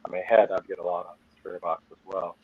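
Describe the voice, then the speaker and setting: Man, Maine accent, self-deprecating guest on a video call, lower voice, muffled dry sound. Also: level tone, fast talker, husky, no echo. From Maine, USA. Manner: rambling, full of "like" fillers, laughs at himself. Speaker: podcast guest. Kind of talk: casual chat.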